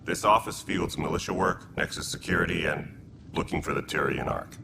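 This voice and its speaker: A man playing a wry, easygoing alien militia officer in a video game, with a very deep, gravelly voice and dry manner. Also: moderate pace, full character voice. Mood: wry, welcoming. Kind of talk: video game dialogue acting. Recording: loud background ambience noise.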